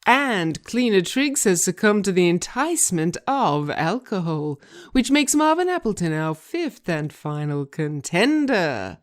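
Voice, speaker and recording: A woman's voice: fairly low pitch, sing-song, a little slow, few pauses. A woman puts on an upbeat reality show host for a script reading. The delivery is glib and emphatic, projected like a television presenter. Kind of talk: dramatic reading of a screenplay.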